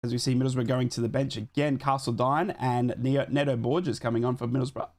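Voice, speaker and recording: A man's voice: medium pitch, steady tone, a little fast, darkish tone. A man hosting a live football watchalong, chatting casually, reading out live scores and thanking viewers for donations, a bit sick and losing his voice.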